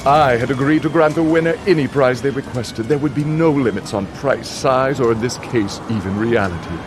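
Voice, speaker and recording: An actor voicing a sinister, gloating contest master, narrating a video game in a dramatic, drawn-out way. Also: male voice, mid-range voice, noisy background, lightly acted. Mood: ominous. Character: sinister, gloating male host of a deadly car contest. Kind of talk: theatrical scripted game narration. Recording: loud music behind the voice.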